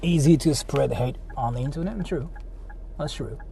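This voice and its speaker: A man's voice: mid-range voice, moderate pace, gravelly, dark tone. A man from Japan, chatting casually on a livestream in blunt, repetitive slang with some swearing. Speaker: livestreamer, channel host. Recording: outdoor street noise.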